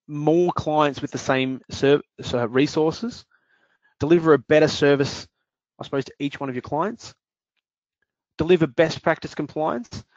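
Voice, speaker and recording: Man presenting a software demo. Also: fairly high pitch, dry recording, dull audio. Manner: explanatory, frequent fillers. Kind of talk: webinar product demonstration.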